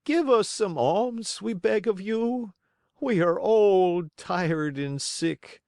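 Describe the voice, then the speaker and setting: A man voicing an old, sly, starving fox who begs in a tearful, drawn-out whine. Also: sits high, sing-song, creaky, dry recording, studio quality, pitched above the performer's own voice, full character voice. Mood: pleading.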